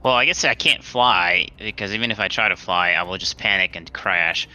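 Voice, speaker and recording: A man's voice: low voice, normal speed, bright, forceful tone, rough recording. A man chatting casually about his moves as a player in a tabletop roleplaying game. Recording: music under the voice. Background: music.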